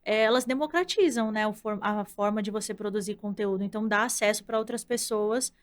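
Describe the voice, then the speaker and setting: A woman's voice: mid-range voice, a little fast. A Brazilian woman in her thirties, a content director, explaining her views in a thoughtful, conversational way. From Brazil, from the countryside. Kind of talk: casual interview conversation.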